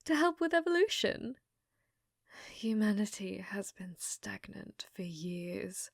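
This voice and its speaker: A woman voicing an unhinged, infatuated scientist in a hushed, breathy ASMR roleplay, clinical yet flirtatious and often whispering. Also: lowish voice, dry recording, clean studio sound, full character voice. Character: unhinged, infatuated female scientist studying a captive alien.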